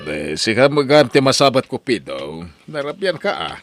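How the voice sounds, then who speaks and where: A man playing a friendly older village uncle who gives advice in a lively, coaxing way. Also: mid-range voice, normal speed, over music, close to an everyday voice. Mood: cheerful. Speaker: uncle figure. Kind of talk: radio drama acting.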